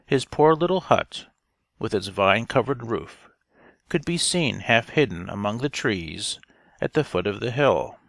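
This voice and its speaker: Man, storybook narrator, slow deliberate delivery, lowish gravelly voice, dry close sound. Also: lively intonation, fairly bright tone, no room sound. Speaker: audiobook reader.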